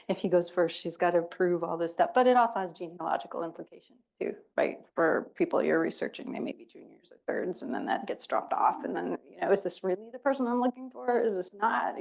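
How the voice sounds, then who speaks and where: Middle-aged woman presenting at a library, kinda gravelly low voice, chatty, trails off w "yeah". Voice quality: chatty, laughs easily, trailing fillers. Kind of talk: casual talk presentation. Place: public library event room.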